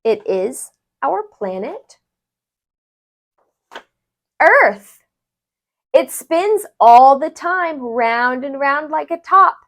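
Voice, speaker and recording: A woman tutor reading a rhyming children's book aloud, expressively and deliberately, with sing-song phrasing. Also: high-pitched, lively intonation, a bit bright.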